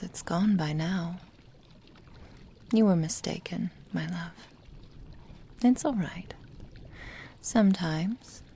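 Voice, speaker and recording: A doting yandere witch, acted by a woman, whispers slowly in a dark, creaky voice. She is possessive and secretive, soothing and coaxing her beloved as in an intimate ASMR roleplay. Light background noise sits behind her. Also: deep voice, exaggerated voice.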